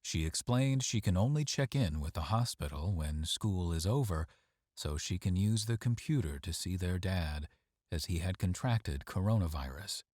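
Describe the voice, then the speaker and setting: Deep-voiced man reading a story, lively delivery. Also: moderate pace, dry recording, clean studio sound. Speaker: story reader. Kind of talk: scripted storytelling narration.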